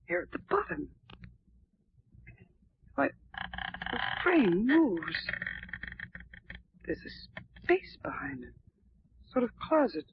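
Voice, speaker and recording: A jealous, defensive wife in 1940s radio melodrama, faltering and emotional. Her voice is husky and swings widely in pitch on a dull vintage recording. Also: no echo, full character voice. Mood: anguished. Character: distraught, jealous, defensive wife under suspicion.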